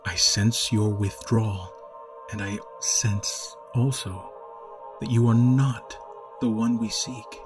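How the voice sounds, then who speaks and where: A male narrator gives voice to a high priest whose low, smooth tone sounds kindly on the surface but carries something sinister underneath. He talks softly and coaxingly, in a measured storybook manner, with music playing clearly behind him. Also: little pitch movement. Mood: persuasive.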